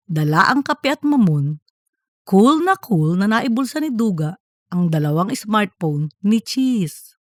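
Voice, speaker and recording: A woman's voice: fairly high pitch, dry recording, studio quality. A woman reading scripted third-person narration for a comic fiction audiobook.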